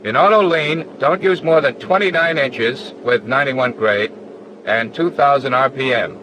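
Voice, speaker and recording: An actor playing a patient, matter-of-fact flight instructor in a 1940s training film, measured and firm. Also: male voice, mid-range voice, natural voice. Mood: firm, instructive. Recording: 1940s film soundtrack with faint noise.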